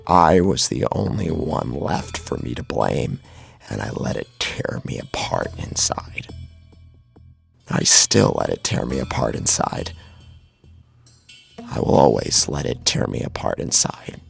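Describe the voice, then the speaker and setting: A man acting an anguished, guilt-ridden victim narrating his own horror story in a gravelly, husky, halting voice. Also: very deep voice, lively intonation, soft background music, heavily acted. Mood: bitter grief.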